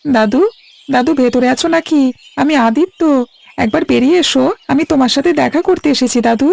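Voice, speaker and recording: Timid cartoon little boy, voiced by a woman: high, creaky edge, anxious, light music underneath. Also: brisk, a little mellow, dry recording, exaggerated voice. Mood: timid, eager. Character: timid young boy visiting his grandfather. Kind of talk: cartoon story voice acting.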